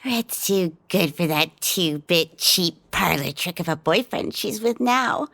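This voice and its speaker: Playful menacing fighter, slow drawl, lively high voice, played by a woman. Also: creaky, no echo, exaggerated voice. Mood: playful menace. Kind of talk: audio drama acting and narration.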